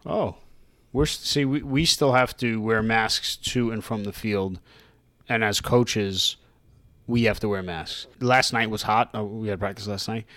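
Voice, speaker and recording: A man co-hosting a podcast in casual chat, with a loud voice and a jokey, chatty way of talking. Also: lowish voice, a little slow, dry recording. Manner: jokey and chatty, laughs often. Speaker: podcast co-host.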